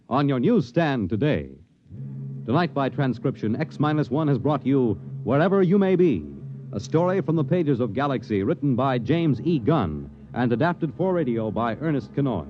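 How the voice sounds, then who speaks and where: A man's voice: mid-range voice, no echo. A man reading scripted credits as a formal, polished 1950s radio announcer. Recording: muffled vintage radio recording. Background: music under the voice.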